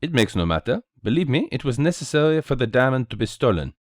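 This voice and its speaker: A man's voice: medium pitch, sing-song, fast talker, no room sound, clean studio sound, pitched above the performer's own voice, lightly acted. A man voicing a small, cheerful, self-assured detective with a courteous, precise, theatrical manner, in a storybook reading. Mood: placid, courteous.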